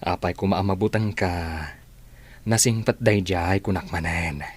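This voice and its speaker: An actor playing a gentle middle-aged father who soothes his daughter, in a radio drama. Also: sits low, a little slow. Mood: reassuring.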